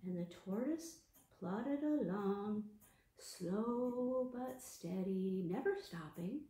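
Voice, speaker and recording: A woman hosting an interactive storytime as a children's librarian, talking in an animated, sing-song way to small children. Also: medium pitch, slow pace, reverberant, poor audio.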